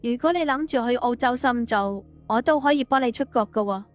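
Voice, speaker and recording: A woman playing a gentle, obliging young woman in an audiobook drama. Her voice is high, with faint music underneath. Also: a little fast, natural voice. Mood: friendly, matter-of-fact. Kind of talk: audiobook character dialogue. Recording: music behind the voice.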